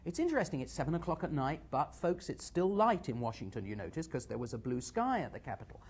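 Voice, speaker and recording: Husky man commenting live, halting and lively. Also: on the high side. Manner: halting, conversational, digressive, speaking to viewers. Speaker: host and media analyst.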